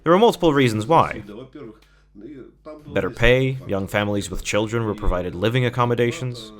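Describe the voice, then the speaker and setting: A man interviewee recounting his childhood in a measured, matter-of-fact way. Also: mid-range voice. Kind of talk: personal recollection in an interview.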